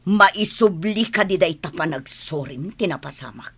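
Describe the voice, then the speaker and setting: Low-voiced, stern scolding mother acted by a woman. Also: expressive, normal speed, husky. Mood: reproachful. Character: stern, disappointed middle-aged mother scolding her daughter. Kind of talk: audio drama dialogue. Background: music.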